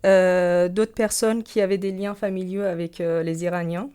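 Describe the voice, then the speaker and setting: Invitée radio, femme, accent persan, débit hésitant ponctué de « euh ». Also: female voice, on the low side, level tone, moderate pace, warm tone, clear, resonant tone. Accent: Persian. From Iran. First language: Persian. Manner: hesitant, many fillers. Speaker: guest, Persian teacher. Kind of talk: radio interview. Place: radio studio.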